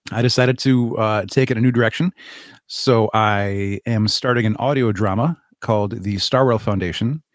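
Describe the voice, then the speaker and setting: A man's voice: fairly low pitch, no echo, studio quality. A man speaking as an interview guest, explaining his creative project. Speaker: podcast guest, audio drama creator.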